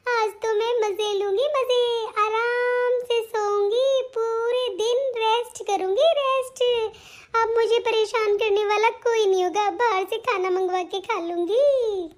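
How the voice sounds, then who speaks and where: Woman playing a rude cartoon daughter-in-law, very high voice, selfish, sarcastic, sharp-tongued scolding. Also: fairly even intonation, slow pace. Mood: gleeful. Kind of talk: Hindi family cartoon story dialogue.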